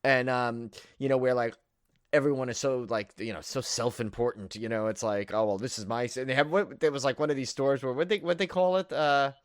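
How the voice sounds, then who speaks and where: A man's voice: mid-range voice, fairly quick, no echo, clean studio sound. A man co-hosting a podcast in casual film-review chat, rambling and repeating himself with enthusiastic emphasis. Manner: rambling, repeats himself, piles up "like" and "you know". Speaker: podcast co-host.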